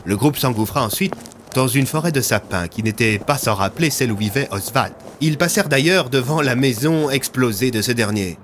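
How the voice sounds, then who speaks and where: A man's voice: medium pitch, expressive, brisk, fairly bright tone, a little hiss or hum. A man narrating scripted, dramatic audio fiction as a storyteller, with music underneath.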